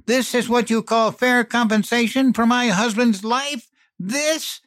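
A man doing an enraged widowed mother, high shrieking voice, raw bitter yelling, dry close sound. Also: measured pace, dry recording. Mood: furious. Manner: screaming, bitter, hurling insults.

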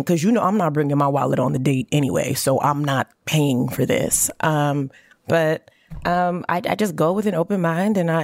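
A woman guest on a podcast telling stories in a sassy, animated way. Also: low voice, creaky, no room sound, studio quality. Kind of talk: casual podcast chat.

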